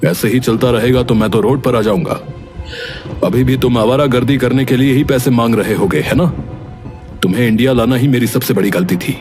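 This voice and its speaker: A man's voice: medium pitch, a little fast, darkish tone, soft background music, natural voice. A man voicing a stern, exasperated middle-aged father who speaks in a scolding tone in a story drama.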